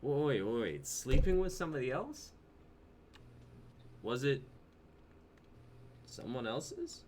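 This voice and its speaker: A man's voice: mid-range voice, wildly swooping pitch, slow pace, long pauses, a little mellow. A man playing an insecure, on-edge young boyfriend who pleads and flares up, in a dramatic script reading. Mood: agitated, hurt. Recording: noisy amateur livestream audio.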